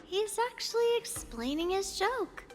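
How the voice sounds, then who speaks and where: A woman's voice: very high voice, very animated, unhurried, a little mellow, soft background music, exaggerated voice. A woman voicing a composed, watchful young companion in a video game, in a matter-of-fact manner.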